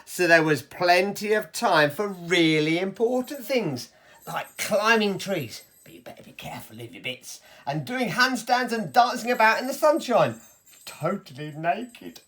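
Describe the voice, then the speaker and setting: A woman's voice: medium pitch, animated, unhurried, fairly bright tone. A woman reading a story to children in a theatrical, playful and emphatic way.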